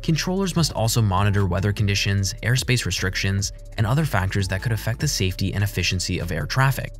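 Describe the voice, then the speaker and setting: A man reading a scripted explainer as a documentary narrator, with a measured, formal delivery. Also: fairly low pitch, animated. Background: background music.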